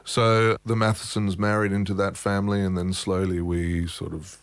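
A man's voice: sits low, flat delivery, moderate pace, no room sound. A man, a musician guest telling his life story in a wry, thoughtful, self-mocking way. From Australia. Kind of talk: relaxed storytelling interview.

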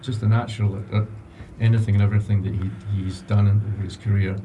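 Mellow, low-voiced male radio guest. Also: little pitch movement, quick pace. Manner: hesitant, modest, laughs easily. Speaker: radio guest and upcoming presenter. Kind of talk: casual radio interview. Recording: distant mic with faint background noise.

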